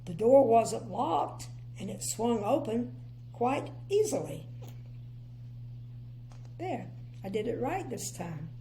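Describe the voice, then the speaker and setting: A woman's voice: fairly high pitch, sing-song, takes it slowly, pauses often, slight background noise. An older woman, a grandmother, reading a children's storybook expressively and adding chatty, opinionated asides. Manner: chatty, gently opinionated commentary. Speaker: grandmother reading bedtime stories.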